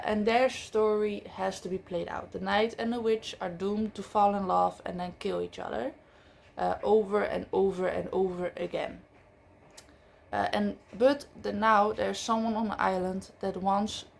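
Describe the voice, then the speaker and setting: A woman chatting about books in English, which is not her first language, often pausing with fillers and correcting herself mid-sentence. Her voice has a light gravelly fry at mid pitch, and a faint hiss sits under the recording. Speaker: book vlogger. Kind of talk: casual book review chat.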